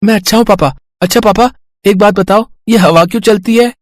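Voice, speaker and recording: A woman's voice: medium pitch, a little fast, no room sound, clean studio sound, lightly acted. A woman voicing a curious, naughty five-year-old boy in a moral story. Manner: childlike, eager, pestering.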